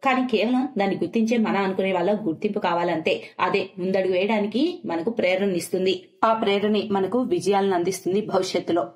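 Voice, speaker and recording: A woman's voice: medium pitch, brisk. Female storyteller, mid-range voice, scripted narration for moral tales.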